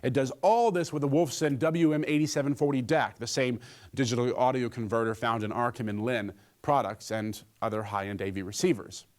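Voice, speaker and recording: A man's voice: medium pitch, a little fast. A man presenting a scripted gadget review, with a joking streak in his delivery.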